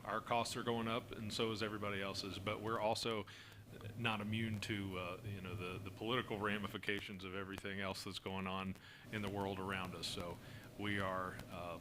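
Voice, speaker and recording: A man from the fire service answering council questions in a steady, explanatory way, with fillers and restarts, speaking into a desk microphone in a council chamber. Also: lowish voice. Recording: noisy meeting room recording.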